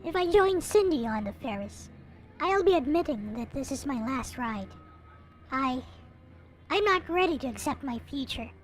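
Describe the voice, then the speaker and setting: Female narrator, high and animated voice, dramatic visual novel read-aloud with expressive delivery, music underneath. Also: moderate pace. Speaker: video creator reading a visual novel aloud. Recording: music playing behind the voice. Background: visual novel soundtrack music.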